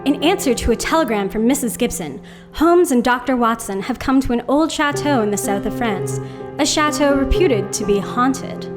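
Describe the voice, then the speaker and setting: A woman's voice: sits high, expressive. Woman narrating a radio drama over music. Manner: clear, measured storytelling. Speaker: announcer.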